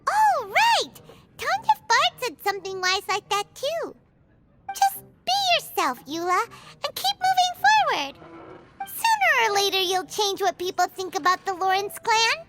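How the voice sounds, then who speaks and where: A woman voicing a tiny, excitable female fairy companion in a game cutscene, bubbly and fussy, talking about herself in the third person. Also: very high-pitched, unhurried, full character voice. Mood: encouraging. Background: background game music.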